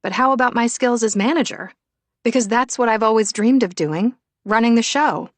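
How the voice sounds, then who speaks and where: A woman's voice: on the high side, normal speed, no echo, pitched above the performer's own voice, lightly acted. A frazzled woman of about thirty, acted out by a female narrator, feisty and self-deprecating, with emotion close to the surface. She speaks in audiobook dialogue with a dry, close sound. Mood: eager, challenging.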